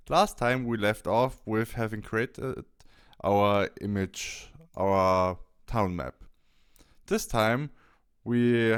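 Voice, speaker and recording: A man presenting a software tutorial step by step, thinking aloud a little hesitantly as he demonstrates. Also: lowish voice, smooth.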